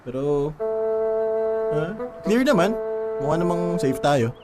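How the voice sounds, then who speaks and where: A man playing an earnest, devoted young boyfriend in a Tagalog radio drama, gentle and sincere, with a Filipino accent. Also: a little high, lively intonation, slow, loud music behind the voice. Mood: casual.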